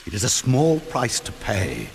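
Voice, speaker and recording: A man's voice: lowish voice, wildly swooping pitch, a little slow, creaky, heavily acted. An actor playing a scheming, haughty male sorcerer in a video game, pompous and theatrical. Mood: smug. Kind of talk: dramatic video game voice acting. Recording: long hall reverb, some noise.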